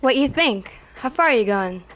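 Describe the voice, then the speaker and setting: A woman's voice: high voice, lively intonation, normal speed, fairly bright tone. An actress playing a brash, sassy young female hitchhiker in an old-time radio drama, with a plain-spoken, wisecracking delivery. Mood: breezy, grateful. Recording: clearly noisy radio play recording.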